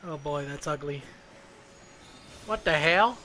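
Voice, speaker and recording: A fairly high-voiced man streams a game with clear noise behind him. He talks casually and bluntly, swearing freely and muttering his thoughts aloud. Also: slow, sharp tone. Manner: blunt, foul-mouthed, mutters to self. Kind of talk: casual gameplay commentary. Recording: clearly noisy background.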